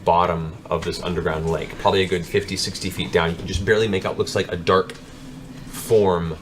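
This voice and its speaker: A man's voice: low-pitched, fairly even intonation, fairly quick, a little hiss or hum. A man narrating a tabletop fantasy game as game master, in a descriptive, dramatic storytelling manner. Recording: music playing under the voice. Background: background music.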